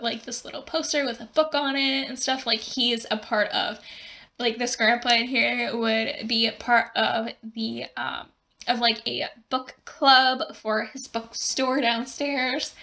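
A young woman narrating her building game in a casual, rambling way, often laughing, with her voice going hoarse. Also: fairly high pitch, bright, forceful tone. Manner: rambling, giggly, lots of fillers. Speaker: gaming video creator.

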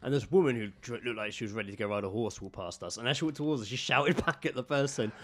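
A southern English man with a medium-pitched voice chats as a podcast co-host. He tells anecdotes casually, in a blokey and jokey manner, and swears freely. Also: quick pace. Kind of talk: casual comedic chat, telling anecdotes.

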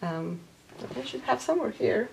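A woman's voice: on the high side, sing-song, moderate pace, gravelly, very noisy. A woman in her thirties from Poland, hosting a knitting podcast in casual, chatty talk to camera from a small home craft room. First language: Polish. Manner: chatty, with many fillers and small laughs.

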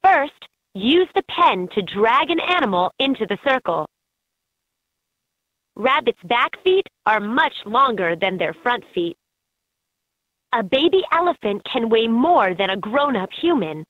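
A woman voicing an encouraging guide in a children's educational game, narrating in a clearly enunciated, instructive way. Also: fairly high pitch, big pitch swings, unhurried, fairly bright tone, no room sound, exaggerated voice. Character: encouraging female guide in a kids' animal learning game. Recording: muffled lo-fi handheld game audio clips.

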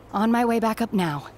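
A woman playing a harried middle-aged recruiter in a video game cutscene, brisk, distracted and businesslike. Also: on the high side, a little hiss or hum. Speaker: head recruiter.

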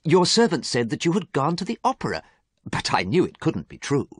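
A man voicing a gentle, worried painter with an earnest, pleading manner in an audiobook story. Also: a little high, huge pitch swings, fairly quick, slightly rough, no room sound, studio quality, pitched above the performer's own voice, exaggerated voice.

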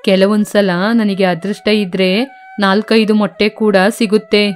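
Woman voicing a slow, cruel cartoon snake. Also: mid-range voice, flat delivery, soft background music, close to an everyday voice. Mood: sly menace. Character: cruel, greedy egg-stealing snake, slyly scheming. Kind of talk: children's cartoon voice acting.

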